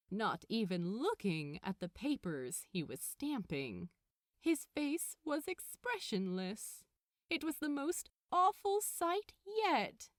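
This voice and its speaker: A woman reading fiction aloud as an audiobook narrator, with expressive, dramatic and deliberate phrasing. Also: medium pitch, no echo, studio quality.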